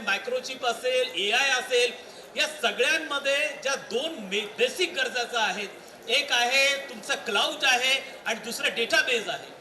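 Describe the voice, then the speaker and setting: Woman, Indian accent, extremely bright voice, motivational speech, echoey room, faint background noise. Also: on the high side. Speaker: event speaker. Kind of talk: motivational public speech mixing in English.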